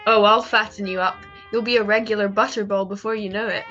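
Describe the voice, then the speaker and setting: An actress playing a sweet, coaxing young wife in an old-time radio drama. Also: female voice, medium pitch, fairly even intonation, fast, pushed and bright, highs cut off, faint music behind, natural voice. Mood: affectionate. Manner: coaxing, affectionate.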